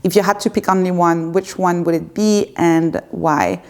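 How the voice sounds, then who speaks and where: A woman's voice: fairly low pitch, fairly even intonation, normal speed, darkish tone. A woman in her thirties with a French accent, answering viewer questions in a candid, unscripted chat to camera at home, with some hesitations. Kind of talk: unscripted casual Q&A. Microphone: facing a camera.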